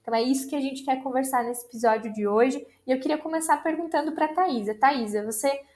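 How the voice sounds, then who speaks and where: A woman hosting a casual podcast chat, lively and chatty. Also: sits high, fast. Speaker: podcast host.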